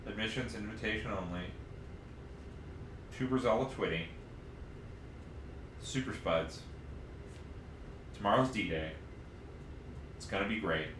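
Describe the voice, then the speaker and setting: A man's voice: fairly low pitch, measured pace, pauses often, a bit bright. A man co-hosting a podcast, chatting casually and reading bits aloud while he puzzles things out informally. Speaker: podcast co-host. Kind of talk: casual chat and reading story passages aloud. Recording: roomy, noisy livestream audio.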